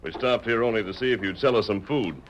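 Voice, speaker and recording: An actor playing a masked heroic frontier lawman in a 1930s radio drama, measured and courteous. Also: lowish voice, highs cut off, lightly acted. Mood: calm. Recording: scratchy old radio recording.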